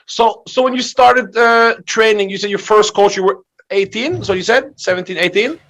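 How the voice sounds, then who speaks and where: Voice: medium pitch, normal speed, a bit bright. A man hosting a conversational video interview, asking questions and reacting with short interjections. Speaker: interview host.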